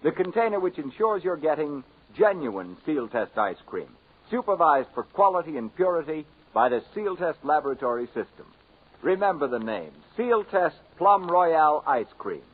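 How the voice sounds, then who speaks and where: Old time radio announcer guy, scripted ad read, bit high, husky, tinny scratchy 1940s recording. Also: very animated, normal speed.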